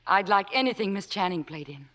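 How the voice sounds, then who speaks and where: A woman's voice: mid-range voice, animated, fairly quick, fairly bright tone, lightly acted. A woman playing a modest, worshipful young American fan in a vintage radio drama, speaking politely and wistfully. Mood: deferential. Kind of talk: 1940s-50s radio drama acting.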